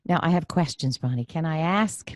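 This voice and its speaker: A woman hosting a conversational interview, effusive and thinking out loud as she forms her questions. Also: low voice, normal speed, dry recording. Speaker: podcast host, career coach.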